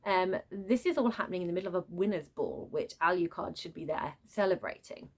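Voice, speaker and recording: A woman's voice: fairly low pitch, expressive, a little fast, husky. Woman in an exasperated, casual book rant. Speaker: book reviewer. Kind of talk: unscripted book rant, casual vlog chat.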